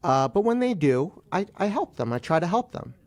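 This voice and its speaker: A man's voice: high-pitched, big pitch swings, normal speed. A man being interviewed live on radio as a guest attorney, speaking carefully and qualifying his opinions.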